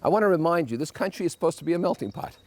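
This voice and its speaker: A man's voice: on the high side, expressive, rapid-fire, darkish tone. An older man from New Jersey with a New York accent, giving an emphatic, opinionated monologue with wry asides as a podcast host. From New Jersey, United States.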